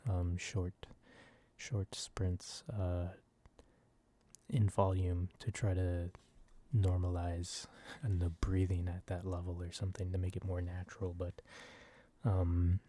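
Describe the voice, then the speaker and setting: A man softly rambling in a casual ASMR style, hesitant and informal, with a breathy voice. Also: deep voice, monotone, slow. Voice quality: soft, breathy. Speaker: video creator. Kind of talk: soft-spoken ASMR ramble.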